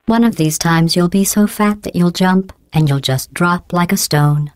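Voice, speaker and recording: A woman voicing a cold, sarcastic female AI computer in a video game, speaking deadpan insults through a synthetic robotic filter. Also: lowish voice, unhurried, no room sound. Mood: deadpan mocking. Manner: deadpan, passive-aggressive insults in a calm even tone. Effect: synthetic robotic voice processing. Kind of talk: game voice lines. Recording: dry close studio recording.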